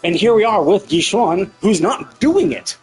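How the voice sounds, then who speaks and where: Higher-pitched man streaming game commentary over music. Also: expressive, normal speed, poor audio. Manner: profane, opinionated, mockingly paraphrases characters.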